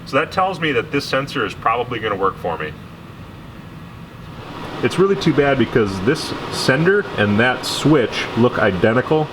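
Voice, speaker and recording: A man explaining hands-on car repair in a casual, conversational way, as a vlogger in his garage. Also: mid-range voice. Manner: informal, conversational. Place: indoor garage workshop. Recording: noisy garage with background hum.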